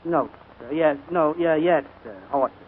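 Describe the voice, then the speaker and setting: Brusque, exasperated butler played by a man, wisecracking radio comedy style, higher-pitched, narrow old broadcast sound. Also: measured pace, close to an everyday voice. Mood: flustered, evasive. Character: gruff, harried male butler, bossy and exasperated older brother. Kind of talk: 1940s radio comedy acting. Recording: scratchy, narrow-band 1940s radio broadcast.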